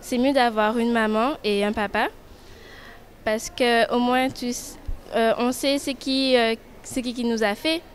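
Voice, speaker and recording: A woman's voice: a little high, fairly even intonation, unhurried, slight background noise. A teenage schoolgirl giving brief, plain answers in an interview on a handheld mic. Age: teens.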